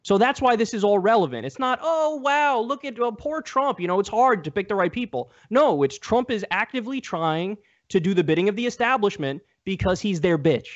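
Combative high-pitched man, lo-fi audio. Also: expressive, fairly quick, few pauses. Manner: combative, emphatic, repetitive, swearing. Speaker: debate guest. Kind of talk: heated political debate.

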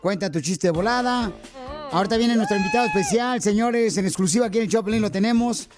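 A man hosting a radio show, teasing his guests with quick jokes and playful banter. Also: high-pitched, over music. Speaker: radio host.

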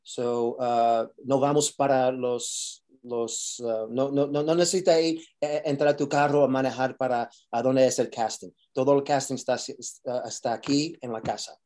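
A man's voice: mid-range voice, fairly even intonation, moderate pace, hardly any pauses, a little mellow. A man, an actor, answering interview questions casually, mixing Spanish and English with many fillers and restarts.

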